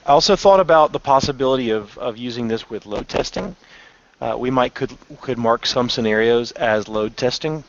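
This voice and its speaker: A man leading an internal software demo in casual meeting talk, informal and given to joking. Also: mid-range voice, normal speed, dull audio.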